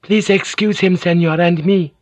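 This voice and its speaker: A woman's voice: deep voice, steady tone, no echo, voice pushed up. A male narrator voicing a distressed, polite young Japanese noblewoman who stammers and halts. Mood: pleading. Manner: halting, stammering, courteous.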